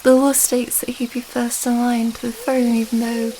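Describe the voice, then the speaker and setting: A woman plays a young princess with a light, fairly high voice that stays soft and mellow. She talks intimately, as in an ASMR romance audio, affectionate and earnest, rambling a little hesitantly. Faint ambient noise sits behind her. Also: flat delivery, moderate pace, lightly acted, poor audio. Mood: reassuring, thoughtful.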